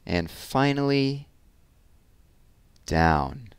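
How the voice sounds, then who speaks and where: A man's voice: mid-range voice, sing-song, extremely slow, clean tone, no room sound. A man in his thirties reading children's picture books aloud expressively, with casual asides, on a headset mic indoors. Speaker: read-aloud storyteller. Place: small room at home, indoors.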